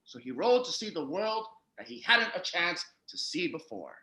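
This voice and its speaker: A wry fairytale narrator, played by a performer, tells the story in a bright, lively voice. The telling is patient and storybook-like, with noticeable room echo. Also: mid-range voice, normal speed. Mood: storytelling. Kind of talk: storybook narration in comic audio drama.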